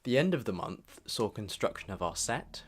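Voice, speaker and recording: A man's voice: lowish voice, big pitch swings, normal speed. A young man narrating a scripted recap of his year in a reflective, informal way. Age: young adult. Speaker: vlogger narrating a year in review. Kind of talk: scripted voice-over recap with casual asides.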